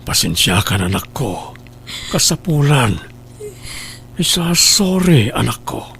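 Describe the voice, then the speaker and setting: A man acting in a Filipino radio drama as a remorseful father, husky and halting, pleading and apologetic. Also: mid-range voice, big pitch swings, fairly bright tone, a little hiss or hum, heavily acted. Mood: sorrowful, apologetic.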